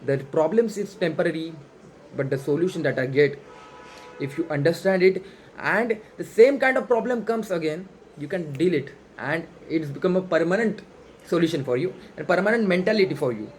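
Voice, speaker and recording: An Indian man with a Marathi mother tongue delivers an off-the-cuff motivational talk for his vlog. His voice sits high for a man. His English is non-native and halting, with phrases often repeated. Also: animated, a little hiss or hum. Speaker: self-improvement challenge vlogger. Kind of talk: unscripted motivational monologue.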